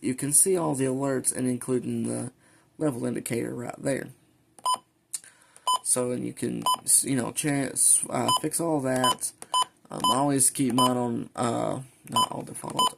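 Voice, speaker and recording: A man casually walking viewers through a product, explaining step by step in an informal, halting, unscripted way. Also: mid-range voice, wildly swooping pitch, creaky, heavy background noise. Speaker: vlogger reviewing a weather radio. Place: indoors at a desk.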